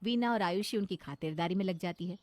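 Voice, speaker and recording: A woman's voice: lowish voice, fast talker, a little mellow, no room sound. A woman storyteller reading a scripted narration of a family moral tale.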